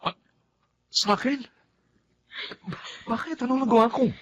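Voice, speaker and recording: An actor playing an earnest, easily flustered young boyfriend in a Tagalog radio drama, on a muffled recording. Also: male voice, very high-pitched, a little slow, slight background noise. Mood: flustered, defensive.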